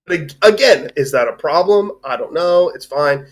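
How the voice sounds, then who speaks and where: A man's voice: fairly high pitch, animated, normal speed. Casual man rambling through film talk. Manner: rambling, informal, swears casually. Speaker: host of a livestream discussion show.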